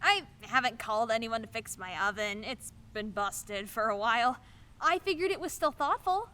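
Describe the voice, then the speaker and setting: An actress playing an awkward, eager young neighbor who speaks haltingly and nervously in a radio play. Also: female voice, fairly high pitch, animated, pushed and bright.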